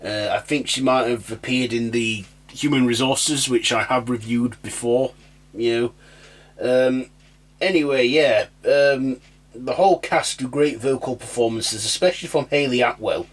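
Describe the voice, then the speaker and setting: A man with a medium-pitched voice hosts an informal review. He talks off the cuff in a hesitant, halting way. Filler phrases break up his sentences as he goes. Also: rough recording. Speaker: review channel host. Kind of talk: casual unscripted audio review.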